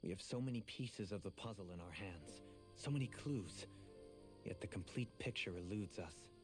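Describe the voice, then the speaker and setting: Casting: male actor as eloquent anime-game nobleman, composed and formal, lowish voice, prominent music underneath. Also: animated, normal speed, natural voice. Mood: grave, pondering. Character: composed, scholarly young nobleman pondering a mystery. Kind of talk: anime video game voice acting.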